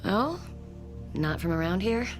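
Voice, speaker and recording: An actress playing a wary, polite widow in a radio drama, hesitant and guarded but firm. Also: female voice, mid-range voice, sing-song, measured pace, soft background music. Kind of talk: modern radio drama acting.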